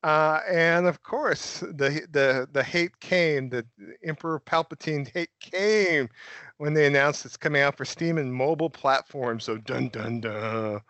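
A man's voice: on the high side, unhurried, fairly bright tone, no echo. A man hosting a casual gaming podcast, joking and often laughing. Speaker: podcast host. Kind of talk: casual chat.

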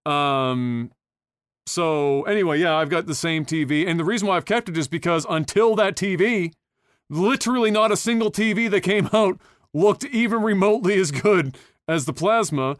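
A man's voice: high voice, normal speed, no echo, studio quality. A young man hosting a podcast in casual chat, rambling with lots of fillers, restarts and swearing. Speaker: podcast host.

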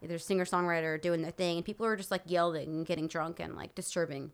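A woman's voice: on the low side, steady tone, fast, clear, resonant tone. A young woman, a musician guest on a podcast, talking frankly and emphatically. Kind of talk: casual interview chat.

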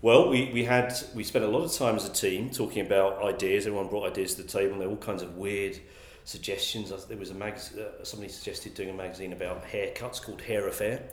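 A man speaking in a fairly low voice, talking through his answers in a measured way. He often restarts and repeats words as he thinks. The sound is echoey, with a faint hiss behind him. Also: fairly even intonation. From England. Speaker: guest, magazine publisher. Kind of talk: conversational interview answers.

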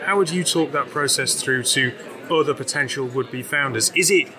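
A man hosting a casual interview, chatty and asking quick follow-up questions. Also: medium pitch, crowd noise. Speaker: interview host.

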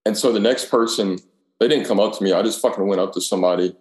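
A man's voice: lowish voice, quick pace, darkish tone. A man chatting casually in profane, slangy anecdotes, acting out the dialogue. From Alabama, United States. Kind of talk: casual chat, telling rambling anecdotes. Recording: echoey room.

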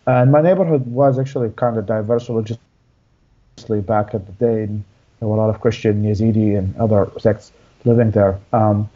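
Halting young man with a dark voice. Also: lowish voice, steady tone, lo-fi sound. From Iraq. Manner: halting, many fillers and restarts. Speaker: guest. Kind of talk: interview, personal story.

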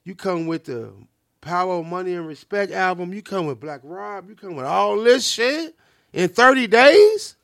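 Emphatic man in his fifties, higher voice. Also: expressive, a little slow, vocal fry, no room sound, studio quality. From Chicago South Side. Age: 50s. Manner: emphatic, repeats his points. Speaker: podcast co-host. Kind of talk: casual chat.

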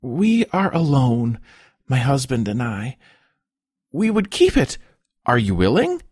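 A man's voice: medium pitch, wildly swooping pitch, takes it slowly, darkish tone, husky, dry recording, studio quality, voice pushed up, exaggerated voice. A man voicing a wilful, spoiled wealthy wife, pleading in a broken, trembling, childlike way for a storybook. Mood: hopeful, trembling.

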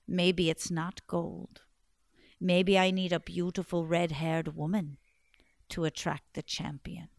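A woman voicing a scheming old woman who speaks slowly in a low, hushed, menacing way. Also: little pitch movement, creaky, no echo, clean studio sound. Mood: sly.

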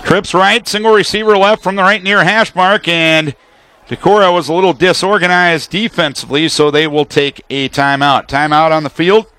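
A man's voice: on the high side, steady tone, bright, forceful tone, smooth. A man doing live radio play-by-play of a football game, calling the action in clipped, detailed phrases. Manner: rapid-fire situational detail in clipped phrases.

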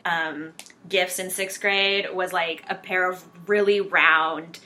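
A woman's voice: lowish voice, fairly even intonation, takes it slowly, sharp tone, slight background noise. A woman in her thirties co-hosting a podcast, chatting casually, laughing often and using many "like" fillers. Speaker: podcast co-host. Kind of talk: casual chat.

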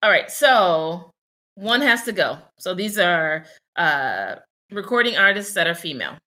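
A woman's voice: lowish voice, big pitch swings, measured pace, sharp tone. A young adult woman co-hosting a casual podcast, talking candidly and reflectively with many fillers and restarts. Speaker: podcast co-host.

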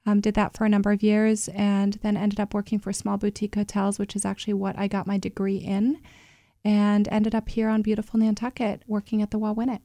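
A woman's voice: mid-range voice, monotone, a little fast, warm tone, dry recording. A woman answering as an interview guest, recalling her background and career conversationally. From born in Switzerland, moved to New York. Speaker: interview guest, hotel general manager.

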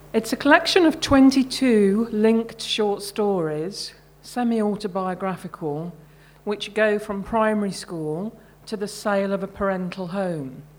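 A middle-aged woman, an author reading her own short fiction at a live event, measured and carefully phrased. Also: mid-range voice.

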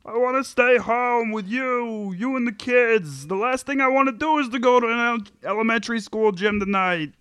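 A man's voice: extremely high pitch, normal speed, hardly any pauses, a bit bright, clean tone, dry recording. A man playing a whiny, put-upon sitcom husband and father in a comedic table read, with an exasperated, pleading delivery. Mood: defensive, exasperated.

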